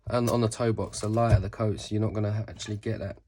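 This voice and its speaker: Stuffy-nosed man, low voice. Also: fairly even intonation, fast, dark tone. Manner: rambling, swears casually, corrects himself mid-sentence. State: stuffy nose from a cold. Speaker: sneaker customizer. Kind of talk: casual how-to tutorial.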